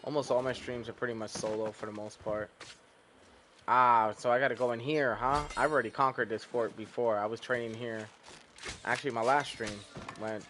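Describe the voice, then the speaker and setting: A man's voice: mid-range voice, steady tone, normal speed, background noise. A man streaming a game, chatting casually to viewers in a laid-back, rambling way with casual swearing. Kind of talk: casual gameplay commentary.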